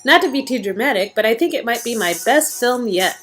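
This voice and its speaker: A woman's voice: a little high, lively intonation, fairly quick, a bit bright, faint music behind. A woman playing a self-assured young female movie star in a read-aloud game. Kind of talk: playful game dialogue reading.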